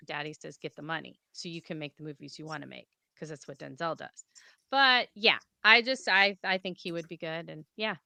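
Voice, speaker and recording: A woman's voice: fairly low pitch, clear, resonant tone, dry recording. A woman co-hosting a pop culture podcast, chatting casually and reading rumor stories with playful quips. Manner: lively, jokey, reads rumors with quips. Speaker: podcast co-host. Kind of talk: casual chat and reading news.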